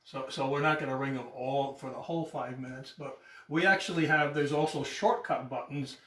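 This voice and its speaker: A man explaining and demonstrating informally as a guest, often halting and repeating words as he goes. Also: medium pitch, steady tone, a bit bright. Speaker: guest explaining the church bell system. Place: inside a church, roomy. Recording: echoey room, distant mic, music behind.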